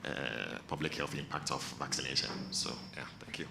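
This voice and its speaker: Young man, gravelly, very deep; roomy, noisy line. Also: normal speed. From Ghana. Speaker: course participant. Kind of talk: self-introduction. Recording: echoey room with background noise.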